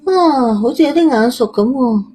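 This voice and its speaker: Woman playing a cheeky, high-voiced Cantonese teenage girl. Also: expressive, normal speed, warm tone, faint music behind. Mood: curious. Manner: cheeky, blunt, colloquial, muttering to herself. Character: brash, chatty red-haired teenage girl with a morbid streak.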